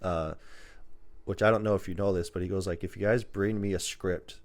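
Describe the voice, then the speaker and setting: Guy with a lowish voice, podcast host chatting casually, acts out little quotes as he tells stuff. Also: fairly quick. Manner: animated storytelling, acts out imagined dialogue. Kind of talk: casual chat about movies.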